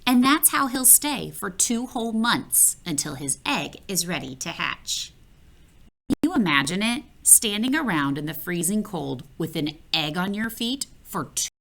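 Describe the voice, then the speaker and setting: Lively woman reading a children's story. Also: mid-range voice, a little slow, gravelly, slight background noise. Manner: expressive, playful, addresses the listener directly. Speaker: children's book reader. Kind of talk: read-aloud storytelling for children.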